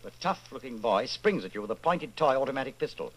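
A man playing a grave, measured narrator in an old-time radio style drama, telling the story in the second person. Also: mid-range voice, lively intonation, a bit bright, poor audio. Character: grave narrator addressing the hero as you.

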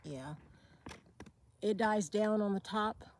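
A woman giving a relaxed, unhurried walk-through of her desert garden, with fillers and self-corrections along the way. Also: mid-range voice, slight background noise. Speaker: homesteader giving a garden tour. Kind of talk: casual walk-through narration.

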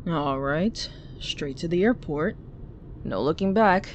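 An actor plays a considerate, casual cab driver in an audio drama. The pitch moves around a lot as they talk. Faint vehicle ambience runs behind the voice. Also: lively intonation, slow, muffled recording, a little hiss or hum. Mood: concerned. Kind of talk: audio roleplay acting.

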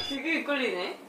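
A woman's voice: high-pitched, sharp tone. A young woman singer teasing a bandmate in a backstage dressing room, giggling often, recorded by a distant camera in a roomy space. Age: young adult. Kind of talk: playful teasing banter.

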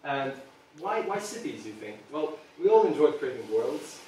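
A man's voice: fairly high pitch, big pitch swings, normal speed, a little mellow, very echoey, slight background noise. A man in his thirties from Serbia giving an earnest talk to a small audience, hesitating often with ums, recorded by a far camera in a meeting room. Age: 30s. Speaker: product designer giving a talk. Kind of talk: public presentation.

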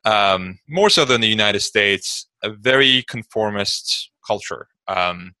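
A man from Turkey, a podcast guest answering in a measured way with frequent ums. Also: on the low side, animated, bright, forceful tone, no room sound. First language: Turkish. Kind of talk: casual interview answer.

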